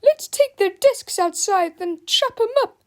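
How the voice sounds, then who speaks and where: Fierce, shrill female schoolteacher, acted by a woman. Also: expressive, a little slow, vocal fry, darkish tone, heavily acted. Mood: spiteful, commanding.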